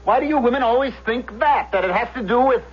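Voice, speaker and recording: An actor playing a troubled, evasive husband with a husky voice and a halting, defensive manner in a 1970s radio drama. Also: male voice, very high-pitched, a little hiss or hum. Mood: agitated. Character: troubled, evasive husband and fireman under strain. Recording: dull vintage radio recording.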